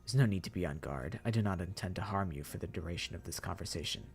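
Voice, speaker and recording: A man voicing a polite, formal zombie mastermind who speaks in a slow, measured and softly menacing way. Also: sits low, vocal fry, pitched below the performer's own voice. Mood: calm, courteous. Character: courtly, eerily polite male zombie mastermind.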